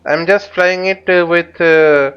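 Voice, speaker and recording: A man with a fairly high, very clear voice talks through a flight simulator tutorial as he goes. His delivery is halting, with phrases repeated and reworded. The audio is slightly dull and lo-fi. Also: steady tone, fairly bright tone. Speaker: flight simulator instructor and channel host. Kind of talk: live tutorial commentary while flying a simulator.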